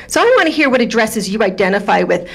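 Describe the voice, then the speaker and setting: Loud, confrontational woman at a podium. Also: a little high, sing-song, fast talker, creaky, slightly rough. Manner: loud, confrontational, demanding answers. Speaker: resident speaking at public comment. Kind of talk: public comment at a council meeting. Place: township council meeting room.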